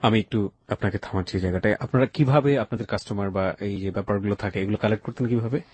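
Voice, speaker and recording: A man's voice: lowish voice, racing, a little mellow, slightly rough, no echo. A man with a Bangladeshi accent hosting a radio interview, asking short, probing follow-up questions in a conversational way. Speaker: radio show host, interviewer.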